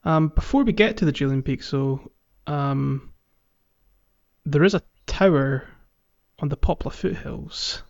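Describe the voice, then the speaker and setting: A man co-hosting a podcast, talking casually and haltingly as he explains things, with the odd chuckle. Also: on the high side, creaky, no room sound. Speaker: podcast co-host. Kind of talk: casual game-walkthrough podcast chat. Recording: dull, lo-fi, dry close recording.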